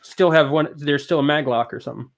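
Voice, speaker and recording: A man's voice: fairly high pitch, fast talker, creaky, crowd noise. A man guesting on a comedy podcast, with laid-back, profane banter. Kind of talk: casual comedy chat.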